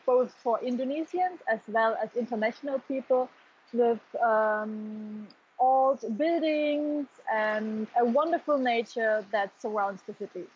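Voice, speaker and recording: A woman's voice: on the high side, slightly rough. A middle-aged woman from eastern Germany with a German accent, answering interview questions over a dull remote call, sometimes searching for words. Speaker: podcast guest. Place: half-open office in tropical rain.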